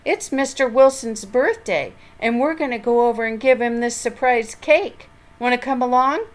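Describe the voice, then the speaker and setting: A woman voicing a cheerful, eager young mischievous boy in a children's storybook, with an excited way of talking. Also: on the high side, moderate pace, pitched above the performer's own voice, close to an everyday voice.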